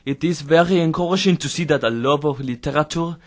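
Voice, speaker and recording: An actor playing a nonchalant French photographer with a French accent and halting, blunt English in an audio drama. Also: male voice, on the high side, close to an everyday voice. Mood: pleased. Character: blithe, candid French male photographer.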